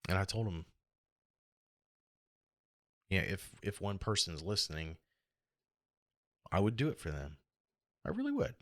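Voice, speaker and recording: A middle-aged American man with a hoarse, gravelly voice, talking alone in a reflective, halting and rambling way as a casual podcast host. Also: sits low, wildly swooping pitch, no echo, clean studio sound. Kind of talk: casual solo podcast monologue.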